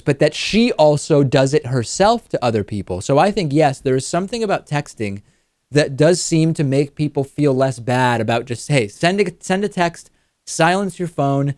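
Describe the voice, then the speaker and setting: A man with a fairly low voice hosts a talk show in a conversational way. He interviews and comments. The sound is dry, with next to no room on it. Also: darkish tone, no echo.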